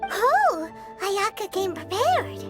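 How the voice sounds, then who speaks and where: A woman voicing a tiny, chirpy, girlish companion in a video game, curious and quick to blurt out remarks. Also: very high voice, big pitch swings, over loud music, exaggerated voice.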